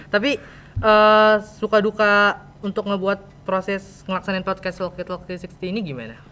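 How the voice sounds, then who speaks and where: A man's voice: high voice, fairly even intonation, moderate pace, bright, forceful tone, clear, resonant tone. A teenage male student with a Jakarta Indonesian accent, hosting a casual podcast interview in a chatty, colloquial way.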